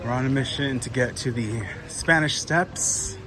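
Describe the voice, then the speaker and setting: A slightly hoarse man talks through a walking tour into a handheld camera. He rambles loosely with plenty of fillers at a middling pitch. Busy street noise runs clearly behind him. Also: unhurried, bright, forceful tone. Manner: rambling, thinking aloud with many fillers. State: slightly hoarse, coming down with a cold. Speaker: travel vlogger. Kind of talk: casual walking tour commentary. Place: outdoors on city streets.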